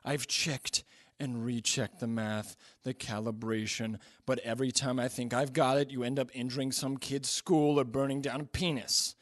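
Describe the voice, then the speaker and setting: A man's voice: medium pitch, flat delivery, normal speed, no echo, clean studio sound, lightly acted. A man playing a hapless, hard-drinking scientist-inventor in an audio drama, ranting with exasperated disbelief. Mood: drunken, despondent.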